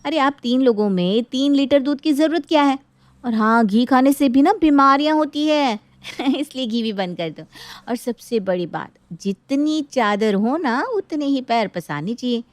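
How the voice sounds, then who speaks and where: A woman's voice: high-pitched, lively intonation, normal speed, no room sound. A woman voicing a greedy, bossy younger sister in a dramatized moral story, taunting and mock-polite as she orders her family about.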